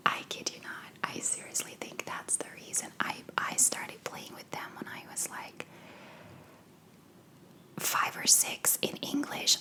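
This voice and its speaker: A young woman from Belgium with an American accent, whispering answers to viewer questions in a rambling ASMR Q&A at home on a sensitive microphone. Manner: soft unvoiced whisper, rambling with fillers. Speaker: ASMR creator. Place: home living room.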